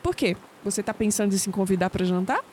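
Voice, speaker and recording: An actress playing a guarded, teasing young woman researcher with a Brazilian accent in an audio drama, quick with sceptical retorts. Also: mid-range voice, darkish tone, slight background noise, close to an everyday voice. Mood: explaining, wry.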